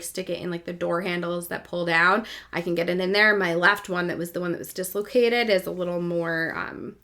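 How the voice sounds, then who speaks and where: Bright, forceful woman in her thirties. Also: on the low side. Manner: candid, animated, self-mocking. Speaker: podcast host. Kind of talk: casual personal storytelling.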